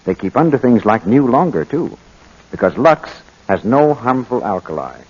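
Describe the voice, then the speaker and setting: A man's voice: mid-range voice, big pitch swings, normal speed. A man working as a commercial announcer, reading a scripted 1940s radio advertisement. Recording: muffled old 1940s radio recording.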